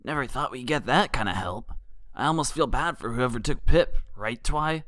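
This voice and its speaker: A man's voice: medium pitch, lively intonation, normal speed, a bit bright, no echo, clean studio sound, lightly acted. A man voicing a brash, cocky young female pegasus pony in a fan fiction reading, slangy and playfully jeering. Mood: cocky, teasing. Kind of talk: fan fiction audiobook character voice.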